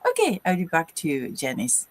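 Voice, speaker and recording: A woman's voice: low voice, wildly swooping pitch, fairly quick, a little hiss or hum. Middle-aged woman introducing herself. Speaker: guest on a group live stream. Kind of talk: casual self-introduction.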